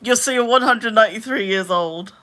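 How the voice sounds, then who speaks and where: Woman in her twenties, live streamer, bright crisp voice, chatty and rambling. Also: on the high side, fairly quick. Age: 20s. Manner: chatty, heavy use of "like", trailing off into mutters. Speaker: livestreamer. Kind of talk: casual live stream chat.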